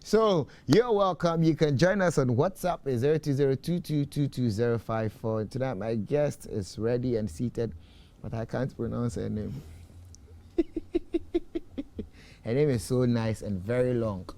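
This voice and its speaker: A man's voice: mid-range voice, measured pace, darkish tone. A Ghanaian man hosting a late-night TV talk show, playful and teasing as he chats with his guest. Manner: playful, teasing, joking, reads out ad copy.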